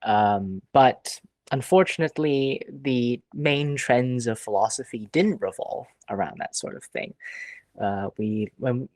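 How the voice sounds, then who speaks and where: A young man from Hong Kong, a philosophy doctoral student, speaking as a podcast guest. His voice sits at a middle pitch, and he answers in a thoughtful, hesitant way with some fillers. The recording is dry and close. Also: slow pace, a little mellow, no room sound. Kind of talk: casual interview answers.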